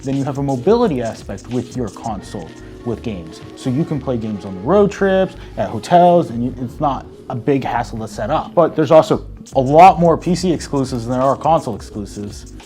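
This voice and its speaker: A man makes his case as a debate contestant in relaxed everyday language. His middle-pitched voice moves up and down in a lively way, with faint music behind. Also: brisk. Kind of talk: casual argumentative chat.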